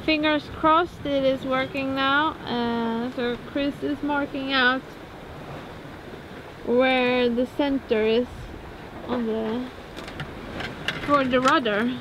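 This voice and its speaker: A woman's voice: high voice, fairly even intonation, very slow, long pauses, noisy background. A woman with a Swedish accent chatting casually for a travel vlog. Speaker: sailing vlogger.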